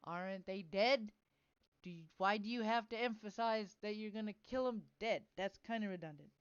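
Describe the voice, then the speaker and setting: A woman's voice: mid-range voice, normal speed, clear, resonant tone, no echo, muffled recording. A woman hosting a casual livestream, chatting with joking, sarcastic commentary. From southern United States. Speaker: livestream host.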